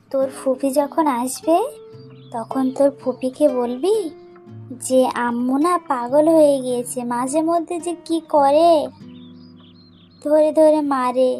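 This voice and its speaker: A woman's voice: high-pitched, dark tone. A woman voicing a scheming, conspiratorial mother in a family cartoon. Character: scheming young mother, conspiratorial with her son. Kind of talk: cartoon voice acting.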